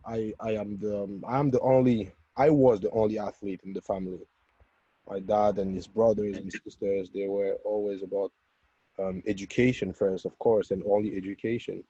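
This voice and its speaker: A low-voiced man from Cameroon recounts his own life in a halting, self-correcting way. His voice is dark and husky, heard over dry, dull webinar audio. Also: dry recording. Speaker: interview guest. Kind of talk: autobiographical talk in a webinar interview.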